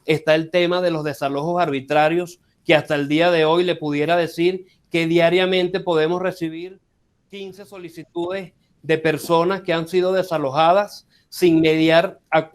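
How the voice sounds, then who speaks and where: A man with a notably high voice lays out a formal advocacy statement in measured, legalistic phrasing. He speaks over a video call. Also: level tone. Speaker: human rights advocate.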